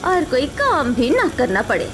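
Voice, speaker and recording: A woman's voice: sits high, wildly swooping pitch, moderate pace, background noise. A woman voicing a resentful, sarcastic housewife in a cartoon story, grumbling about her in-laws. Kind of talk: animated cartoon story acting.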